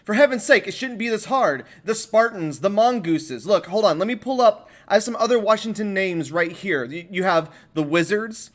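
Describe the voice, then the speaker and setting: A man hosting a comedy podcast in a mock interview style, informal and halting, with an exasperated manner. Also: high-pitched, animated. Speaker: podcast host.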